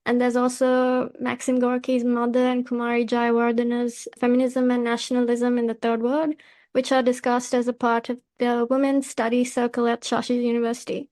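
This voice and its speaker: A woman hosting a podcast, reading out prepared interview questions in a measured, formal, carefully worded manner. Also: a little high, monotone, a little mellow, dry recording. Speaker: podcast host, magazine editor.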